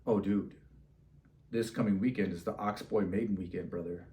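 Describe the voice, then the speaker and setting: A man voicing his laid-back shaman friend, an earnest adviser with emphatic, drawn-out dude-speak in a spoken story. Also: fairly low pitch, steady tone, warm tone, distant mic, pitched below the performer's own voice, close to an everyday voice. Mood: grave, emphatic.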